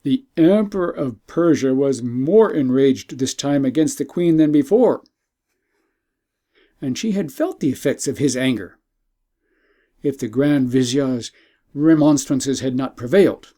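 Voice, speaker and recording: A man narrating an old tale as an audiobook in a measured, formal storytelling manner. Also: mid-range voice, animated, warm tone.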